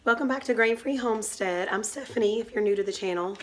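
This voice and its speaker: A woman with a hoarse voice from a cold, casually walking through a home cooking recipe step by step in her kitchen as the channel's host. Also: medium pitch, fast talker, a little hiss or hum. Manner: chatty, explains step by step.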